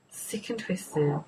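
A woman's voice: dark tone, a little hiss or hum, poor audio. A young woman casually co-hosting a movie commentary, joking, sarcastic and swearing freely. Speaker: co-host.

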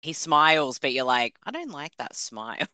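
A woman's voice: low-pitched, big pitch swings, moderate pace, bright, forceful tone, no echo. A woman with an Australian accent hosting a podcast discussion, moving between chat and read-out notes. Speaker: podcast host.

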